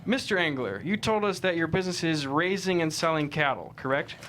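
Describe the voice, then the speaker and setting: Man playing pointed defense attorney, higher voice. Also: fairly quick, a bit bright, slight background noise, close to an everyday voice. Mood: probing. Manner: pointed, methodical questioning. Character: probing, argumentative male defense attorney. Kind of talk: scripted table read of courtroom drama.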